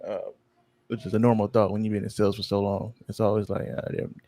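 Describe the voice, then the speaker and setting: Low-key man with a gravelly voice. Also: little pitch movement, fast, warm tone. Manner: rambling, loses his train of thought, many ums; low-key, sleepy-sounding. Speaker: podcast co-host. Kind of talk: casual chat.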